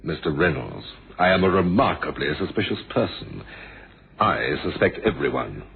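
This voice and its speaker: A man's voice: deep voice, sing-song, normal speed, vocal fry, phone-quality sound, heavily acted. A man acting a shrewd, courteous but suspicious police inspector in a radio drama, drily wry and formal. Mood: stern suspicion. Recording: thin band-limited old radio, noisy.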